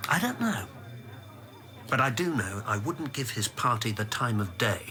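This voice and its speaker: An actor playing a reserved, careful English civil servant who also narrates, in a radio drama. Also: male voice, medium pitch, very animated, moderate pace, bright, forceful tone. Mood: terse. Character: guarded, principled British civil servant and narrator.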